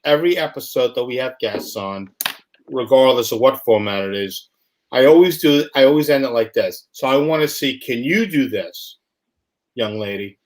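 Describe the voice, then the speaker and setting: A man hosting a casual live show, talking loosely and rambling through explanations with plenty of fillers. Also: a little high. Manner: loose, rambling, acts out dialogue in quick voices. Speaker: host.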